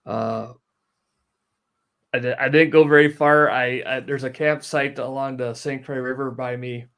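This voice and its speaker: A man, explaining things in a practical, low-key way as a guest in a casual interview. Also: fairly even intonation, unhurried, fairly bright tone, clean tone. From northern Wisconsin, United States. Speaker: guest, outdoor amateur radio operator. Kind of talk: casual live stream interview.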